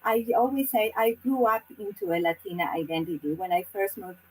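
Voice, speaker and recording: Brief: middle-aged woman, English, earnest panel guest, mid-pitched. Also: animated, a little fast, darkish tone, slight background noise, poor audio. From Argentina. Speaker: community leader, panel guest.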